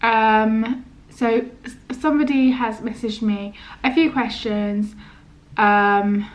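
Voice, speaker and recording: A young woman with a stammer, chatting casually to camera in a halting, hesitant way, often trailing off. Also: on the high side, fairly even intonation, rough recording. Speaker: vlogger.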